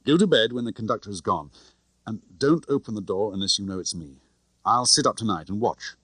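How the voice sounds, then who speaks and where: A male narrator plays a cool British secret agent in a spy audiobook. He is protective and controlled, speaking in a low, clipped, matter-of-fact way with a dry, close sound. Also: animated, a little fast, pushed and bright, no room sound. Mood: cautious, reassuring.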